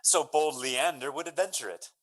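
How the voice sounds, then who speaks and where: A man playing an earnest, courteous young gentleman lover in a Shakespearean radio drama, speaking florid verse. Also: fairly high pitch, normal speed, close to an everyday voice. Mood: helpful, eager. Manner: hesitant openings, then florid Shakespearean verse.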